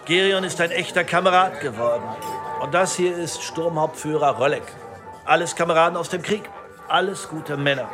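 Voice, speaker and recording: A man's voice: fairly high pitch, moderate pace, music in the background, close to an everyday voice. A man playing a chummy, cynical war-veteran policeman in a radio drama, jovial and needling in manner. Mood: hearty, comradely. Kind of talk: naturalistic radio drama acting.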